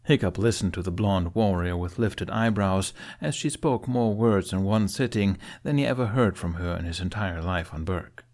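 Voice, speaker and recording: A man narrates a fantasy story in a fairly low voice. His delivery is scripted and expressive, in the manner of an audiobook. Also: moderate pace, few pauses.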